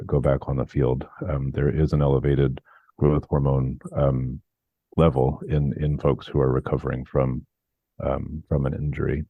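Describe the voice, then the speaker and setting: Man, very deep voice, hesitant, lots of fillers, dry tinny line. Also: no room sound. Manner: hesitant, many fillers, thoughtful. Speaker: guest doctor. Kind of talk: expert explanation in interview.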